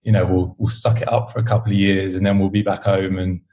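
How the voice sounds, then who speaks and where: A man's voice: sits low, fast talker. A man from the UK with a British accent, talking casually and rambling a bit as an interview guest. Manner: relaxed, rambling, many fillers. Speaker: podcast host, interview guest. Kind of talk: casual chat.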